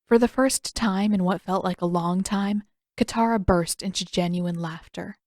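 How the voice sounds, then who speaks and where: A woman's voice: medium pitch, moderate pace, a little mellow, no echo. A woman reading scripted fiction aloud as a story narrator. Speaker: audiobook narrator, fan fiction reader.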